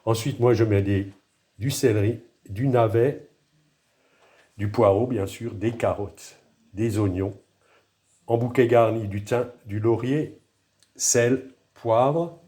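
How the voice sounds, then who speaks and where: A man with a pronounced regional French accent talks through a dish in his home kitchen, recorded on a handheld phone. His voice sits fairly low and sounds dark and mellow. He hesitates, restarts and makes light of himself as he goes. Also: slow pace, long pauses. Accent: noticeable regional French accent. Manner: hesitant, self-deprecating, thinking aloud. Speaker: home cook. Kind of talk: casual cooking demonstration.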